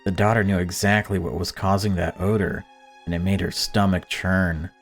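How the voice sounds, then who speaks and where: A man with a fairly low voice narrates a visual novel. He moves between reading the story and casual let's-play commentary. Faint music plays behind him. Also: level tone, normal speed. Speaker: let's player.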